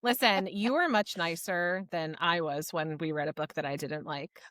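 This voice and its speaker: A woman's voice: lowish voice, normal speed, background noise. A woman hosting a podcast in casual, informal chat, speaking with gushing emphasis. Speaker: podcast host. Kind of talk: casual book discussion chat.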